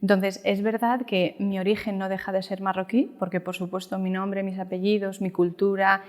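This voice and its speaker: A woman's voice: mid-range voice, little pitch movement, normal speed. A thirty-year-old woman from Spain with a Spanish accent, chatting casually about her experience as an interview guest. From Valencia, Spain. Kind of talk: casual chat, explaining personal experience. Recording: echoey room with long reverb.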